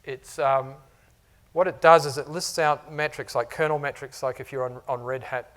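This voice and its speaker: A man's voice: mid-range voice, fairly even intonation, normal speed, fairly bright tone. New zealand guy w/ a bit of aussie in the accent, middle-aged, giving a chatty conference talk, lots of "you know" and "uh". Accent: New Zealand, mixed with Australian. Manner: conversational, many fillers like "you know" and "uh". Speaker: technology architect presenting to customers. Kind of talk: conference presentation. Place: conference room before a live audience.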